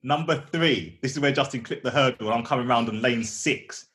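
A man's voice: mid-range voice, brisk, very noisy. A British man co-hosting a podcast with casual, teasing, slangy banter. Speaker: podcast co-host.

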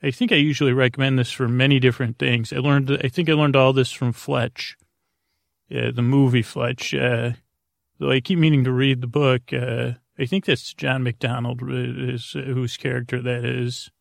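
A man with a rusty, gravelly voice hosting a bedtime podcast, meandering absent-mindedly through halting tangents and often interrupting himself. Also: mid-range voice, little pitch movement, no echo, studio quality. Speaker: podcast host. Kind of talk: rambling bedtime monologue.

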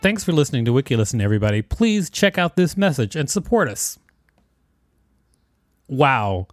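A man's voice: medium pitch, very animated, normal speed, no room sound, soft background music. A man hosting and reading an encyclopedia article aloud in a scripted narration.

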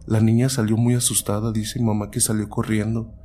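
A man's voice: fairly low pitch, flat delivery, fairly quick, mellow, a little hiss or hum. A man hosting and narrating a show of listeners' stories, reading them aloud in a storytelling style.

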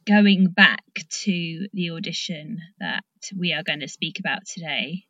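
A woman's voice: deep voice, a little slow, pushed and bright, no echo, lo-fi sound. A British woman hosting a casual interview, informal and encouraging, feeling her way through questions. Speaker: podcast host interviewing.

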